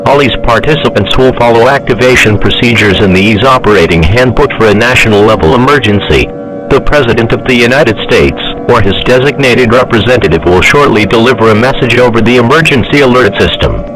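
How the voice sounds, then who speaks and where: A man's voice: mid-range voice, fairly quick, music in the background, poor audio. A man delivering a scripted emergency broadcast announcement in a formal, official manner.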